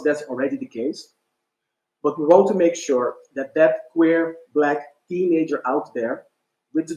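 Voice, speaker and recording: A man hosting a formal webinar panel, speaking in courteous, measured phrases. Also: on the high side. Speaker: panel host. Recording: echoey room.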